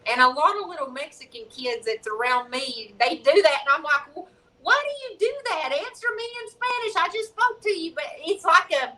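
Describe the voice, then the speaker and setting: A woman in her forties talks in an easygoing, frank way. Her voice is high and bright. She sits a little back from the microphone. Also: expressive, normal speed. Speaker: guest crafter. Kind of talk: casual chat. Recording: bright voice, distant from mic.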